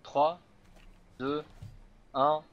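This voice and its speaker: Slow-speaking man in casual game chat. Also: a little high, level tone, highs cut off, slight background noise. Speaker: fellow player.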